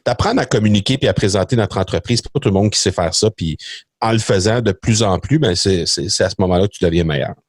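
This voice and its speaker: A man's voice: on the low side, fast talker, no echo, clean studio sound. A middle-aged man with a Québécois French accent, chatting as a podcast guest, hesitant with many fillers and restarts. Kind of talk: casual interview chat.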